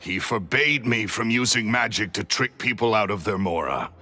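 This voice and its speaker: A man's voice: fairly low pitch, expressive, normal speed, pushed and bright, full character voice. An actor playing a resentful male magician's apprentice turned thief, confessing in a brooding, bitter way in a video game. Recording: faint music behind.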